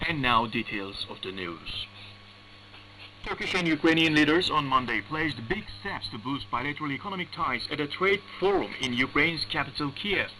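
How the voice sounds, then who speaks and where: A man reading formal scripted news as a radio newsreader, in a measured, deliberate way, over shortwave radio. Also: on the high side, lively intonation, sharp tone. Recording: noisy humming shortwave radio broadcast.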